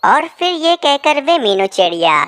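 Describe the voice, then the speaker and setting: A woman's voice: high voice, very animated, measured pace, a bit bright, dry recording. A woman narrating a scripted children's story.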